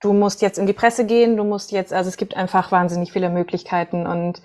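A woman's voice: mid-range voice, flat delivery, fast, darkish tone. A woman from northern Germany, a voice coach and singer, answering conversationally as a podcast guest.

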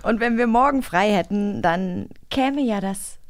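Lady cohosting a radio show, casual chatty, mid pitch, dry sound. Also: lively intonation, measured pace, dry recording. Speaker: co-host of a radio play magazine. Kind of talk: casual radio chat and interview.

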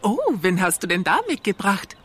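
A woman's voice: lowish voice, animated, normal speed, fairly bright tone, husky. A woman playing a gracious, sweetly polite female gibbon with effusive, formal manners in a children's audio drama. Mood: delighted, gracious.

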